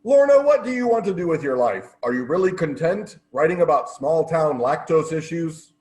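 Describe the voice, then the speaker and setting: Brusque male editor, acted by a man, high voice, swooping intonation, stagey comedy. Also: animated, normal speed, darkish tone, close to an everyday voice. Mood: blunt, urging. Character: brusque male newspaper editor, blunt boss. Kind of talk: stagey comedy play acting.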